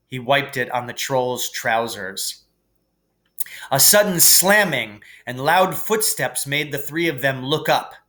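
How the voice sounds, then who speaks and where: Slow, measured male storybook narrator. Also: mid-range voice. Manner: measured, expressive read-aloud. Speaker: teacher reading aloud.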